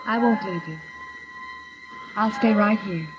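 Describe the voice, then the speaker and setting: A woman's voice: medium pitch, slow pace, music in the background, lightly acted. An actress playing an anxious, devoted woman in a 1950s radio drama, fussing insistently over an unwell man. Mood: worried, tender. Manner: insistent, soothing repetition. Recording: dull, noisy 1950s radio recording.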